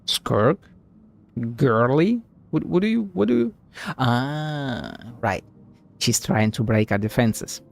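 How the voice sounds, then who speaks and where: A man's voice: medium pitch, very animated, slow pace, vocal fry. A man doing casual live game-stream commentary, playfully muttering asides and echoing dialogue.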